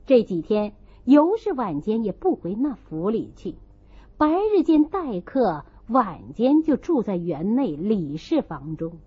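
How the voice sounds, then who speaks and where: A woman reading a classical novel as an audiobook narrator, in an expressive storytelling style. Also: medium pitch, a little slow, husky, muffled recording.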